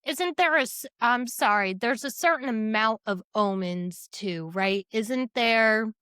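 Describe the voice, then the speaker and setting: A woman's voice: a little high, measured pace, bright, forceful tone, smooth, no room sound, clean studio sound. A woman co-hosting a podcast in a casual book chat, chiming in with informal, joking commentary. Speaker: podcast co-host.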